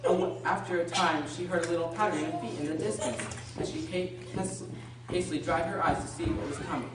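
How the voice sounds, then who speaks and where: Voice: mid-range voice, fairly quick, lightly acted. A performer playing a storybook narrator, reading a scripted radio drama aloud in a storytelling manner. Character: storybook narrator of a children's tale. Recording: very echoey, distant, noisy with clicks.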